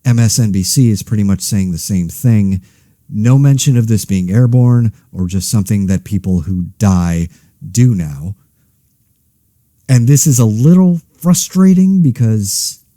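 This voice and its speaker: A man playing a weary, anxious podcast host in a zombie outbreak, speaking casually with dry, wry, halting asides. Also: medium pitch, lively intonation, dark tone, no echo, natural voice. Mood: mildly frustrated. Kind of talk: casual monologue, scripted fiction podcast.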